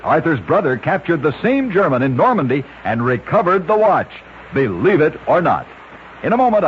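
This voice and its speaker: A man narrates in a brisk, old-time radio announcer manner, his voice pitched a little high and swinging widely up and down. The recording is muffled and lo-fi, with faint hiss underneath. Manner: brisk announcer delivery of odd facts.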